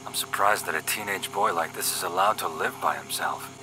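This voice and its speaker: A man acts out a wisecracking young male agent in an audio drama. His voice sits fairly low but has a very sharp, forceful edge, and he banters flippantly over a faint hum. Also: fairly quick, rough recording. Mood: teasing. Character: wisecracking, cocky young male paramilitary agent, teasing optimist. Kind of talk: scripted audio drama dialogue.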